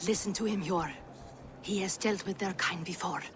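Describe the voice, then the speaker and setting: A woman playing an earnest, loyal, steady Viking-era character in a dramatic video game. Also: mid-range voice, normal speed, background noise, lightly acted. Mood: determined.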